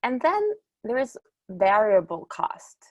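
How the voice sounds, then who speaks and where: A middle-aged woman from Ukraine gives a conference presentation. Her voice sits at a middle pitch. She explains in a measured way and lifts her voice on key words. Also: big pitch swings, muffled recording. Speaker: conference speaker, company executive.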